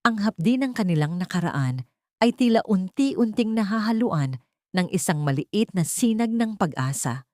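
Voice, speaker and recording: A woman's voice: medium pitch, lively intonation, moderate pace, darkish tone, dry recording, studio quality. A woman narrating a scripted audiobook story in a solemn, dramatic manner.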